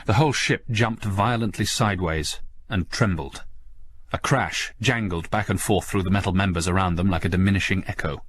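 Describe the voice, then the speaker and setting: A male audiobook narrator with a low voice reads scripted fiction. His delivery is that of dramatic storytelling. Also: fairly bright tone.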